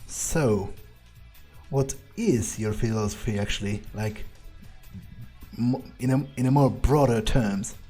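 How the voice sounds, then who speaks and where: Guarded male vampire club owner, roleplayed by a man in a video game: dry, hesitant, slow and mellow, faint music behind. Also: medium pitch, slightly rough. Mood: dry, reluctant. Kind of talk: in-character improvised game roleplay.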